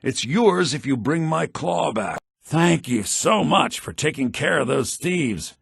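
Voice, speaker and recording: An actor playing a fussy, fretful shopkeeper in a fantasy video game, with a flustered, earnest manner. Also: male voice, on the high side, expressive, slow, vocal fry, husky, dry recording, studio quality, exaggerated voice. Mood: earnest, worried.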